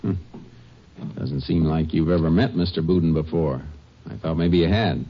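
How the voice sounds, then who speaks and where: An actor playing a steady, stern Texas Ranger who also narrates, speaking in a firm, clipped, matter-of-fact way. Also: male voice, extremely low pitch, moderate pace. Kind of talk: 1950s radio drama acting. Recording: muffled vintage radio broadcast with music.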